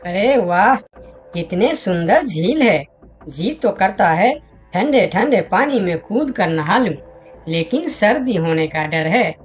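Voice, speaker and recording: A woman's voice: medium pitch, lively intonation, slow pace, soft background music. A woman voicing a small, polite talking forest animal in a children's cartoon. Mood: delighted. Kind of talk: Hindi children's cartoon dialogue.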